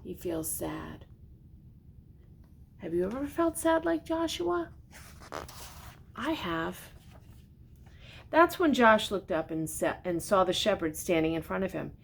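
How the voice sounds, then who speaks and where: An older woman reading a children's storybook aloud expressively, pausing for gentle, simply worded explanations. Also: very animated, creaky. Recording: noticeable background noise with low rumble.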